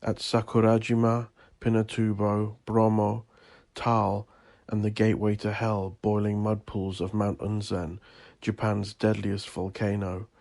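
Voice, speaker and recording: A man narrating a scripted horror story in a theatrical, deliberate, ominous manner. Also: on the low side, little pitch movement, creaky, a little mellow. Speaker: audio drama narrator.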